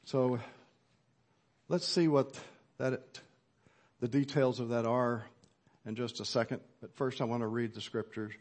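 Man preaching an earnest, measured sermon. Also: mid-range voice, steady tone, a little mellow. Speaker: pastor.

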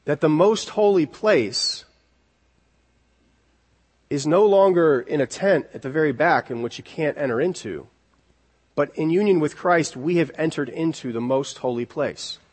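A man preaching an expository sermon in an earnest, deliberate way, repeating key words for emphasis. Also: fairly high pitch, darkish tone, slightly rough. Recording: long reverberant hall echo.

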